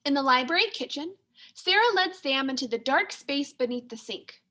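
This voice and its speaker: A woman teacher reading a children's story aloud in an expressive, clearly paced manner. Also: high voice, moderate pace. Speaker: second grade teacher.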